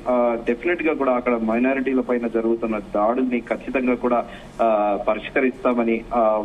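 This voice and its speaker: A man giving a live news report as a correspondent, halting often with filler sounds. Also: medium pitch. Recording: dull, muffled live link with faint noise.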